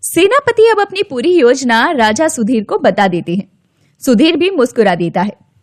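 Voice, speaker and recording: A woman storyteller reads a scripted children's tale. Her voice is high-pitched and swoops expressively, with a dry studio sound. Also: very animated, moderate pace, no room sound.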